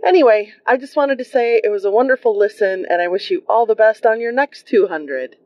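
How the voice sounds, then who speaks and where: A woman's voice: fairly high pitch, fairly quick, dry recording. A woman leaving an enthusiastic, casual voice message as a podcast caller. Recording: dry, dull lo-fi voice message.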